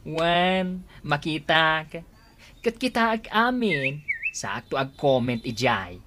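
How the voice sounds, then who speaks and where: A woman's voice: sits low, big pitch swings, takes it slowly, fairly bright tone, a little hiss or hum, full character voice. A woman playing an indignant, scornful female creditor in an Ilocano comedy radio drama, exclaiming with an Ilocano accent. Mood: scornful, vengeful. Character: indignant female creditor out to expose her debtor.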